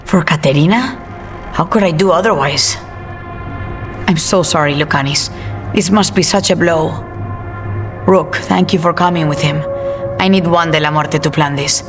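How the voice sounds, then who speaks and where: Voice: medium pitch, normal speed, pauses often, music in the background, lightly acted. An actor playing a gracious, sympathetic acquaintance in a video game cutscene. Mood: sorrowful, gracious.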